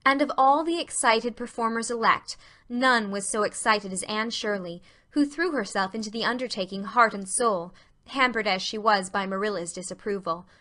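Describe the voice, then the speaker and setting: A woman's voice: medium pitch, moderate pace, sharp tone. A woman narrator reading a classic novel as scripted storybook narration.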